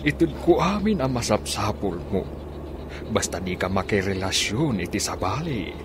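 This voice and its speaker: A man's voice: on the low side, very animated, moderate pace. An actor playing a possessive older male lover in a radio drama, with an Ilocano accent. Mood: tender, possessive. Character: possessive older male lover, called Daddy, providing for her. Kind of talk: Filipino radio drama acting. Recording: noisy radio drama track with ambience.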